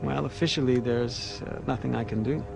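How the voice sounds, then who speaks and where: A man's voice: medium pitch, moderate pace, creaky, music in the background, close to an everyday voice. An actor playing a cynical male police detective in a video game, wry and sardonic.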